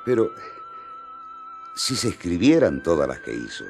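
An earnest disciple in a radio play, fervent and coaxing. His low voice swoops dramatically in pitch, emphatic and eager, with faint music behind it. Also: huge pitch swings, measured pace, darkish tone, exaggerated voice. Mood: reflective. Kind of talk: radio drama acting in colloquial Spanish.

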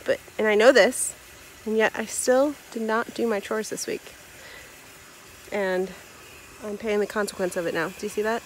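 A woman's voice: mid-range voice, fairly even intonation, normal speed, pauses often. A young woman chatting casually as she vlogs on a farm, recorded outdoors on a handheld phone. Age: young adult. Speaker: homestead vlogger. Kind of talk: casual vlog chat. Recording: noisy outdoor phone audio.

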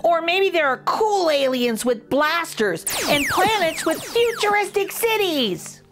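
A middle-aged woman hosting a children's storytime, reading a picture book aloud with expressive, exaggerated intonation. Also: very high-pitched, unhurried, soft background music. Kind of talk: animated read-aloud for young children.

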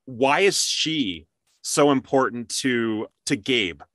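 A man's voice: mid-range voice, expressive, slow, a bit bright, dry recording, studio quality. A man hosting a casual interview, talking in a chatty, conversational way. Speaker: interview host and amateur voice actor.

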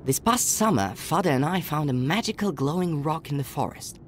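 A man's voice: on the high side, expressive, fairly quick, a little hiss or hum, natural voice. A man playing an earnest, caring young country boy and protective older brother, narrating reflectively in a video game.

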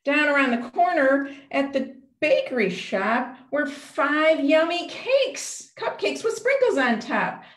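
A woman's voice: high-pitched, big pitch swings, slow pace, hardly any pauses, a bit bright. An older woman, a children's librarian, reading aloud and counting along in simple language aimed at young children over a video call. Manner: simple, child-directed, asks counting questions. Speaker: children's librarian hosting storytime. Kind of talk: children's storytime read-aloud and counting rhymes. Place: small room at home, indoors. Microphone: computer mic on a video call. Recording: roomy, echoey home video-call audio.

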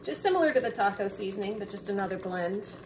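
A woman's voice: mid-range voice, quick pace. Chatty woman home cook, distant mic. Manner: relaxed, chatty, with fillers. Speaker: home cooking host. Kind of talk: casual cooking narration. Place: home kitchen. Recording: distant mic, noticeable room noise.

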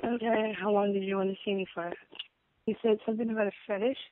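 A woman's voice: mid-range voice, level tone, quick pace, dry recording. A woman talking casually as the person receiving a phone call. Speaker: recipient of a prank call. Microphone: telephone call. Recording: narrow, tinny phone line.

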